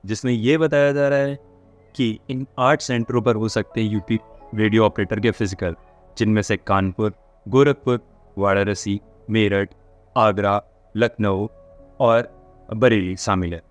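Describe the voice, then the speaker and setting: A man's voice: on the low side, steady tone, a little slow. Man explaining over music. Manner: mixes in English words. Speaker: host of a job updates channel. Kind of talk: informal explainer for exam candidates.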